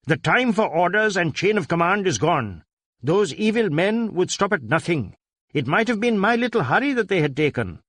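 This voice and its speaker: A man's voice: high-pitched, sing-song, moderate pace, a bit bright, dry recording, clean studio sound, pitched above the performer's own voice, heavily acted. A man voicing a proud, fervent Indian businessman and father in an audiobook, speaking insistently and emphatically. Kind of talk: audiobook character voice.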